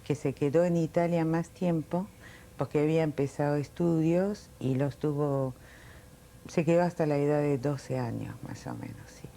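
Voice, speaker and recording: A woman in her late sixties, born in Italy, telling her life story in an oral history interview, reflective and unhurried with frequent fillers. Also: deep voice, gravelly, warm tone, slight background noise. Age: 60s.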